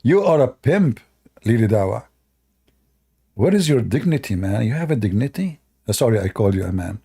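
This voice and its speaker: A man's voice: medium pitch, sing-song, normal speed, vocal fry, mellow, breathy edge. A man hosting a reaction video, sarcastic and laughing often as he mocks a clip, speaking in broken English. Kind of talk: mocking reaction commentary.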